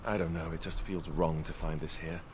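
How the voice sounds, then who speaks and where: An actor playing a solemn, grieving warrior in a fantasy video game, speaking haltingly. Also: male voice, low-pitched, steady tone, noisy background, close to an everyday voice. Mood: grieving, somber.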